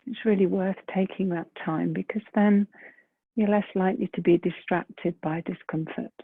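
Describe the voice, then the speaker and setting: A woman leading a guided meditation in a gentle, soft, breathy voice, unhurried, with long pauses between phrases. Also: medium pitch, steady tone, no room sound. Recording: muffled phone-like lo-fi sound.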